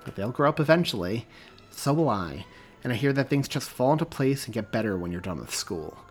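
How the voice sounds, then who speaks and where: A man's voice: mid-range voice, sing-song, brisk, breathy edge, soft background music. A man voicing an easygoing, reassuring young schoolboy in a storybook reading. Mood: calm. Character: good-natured, calm young schoolboy.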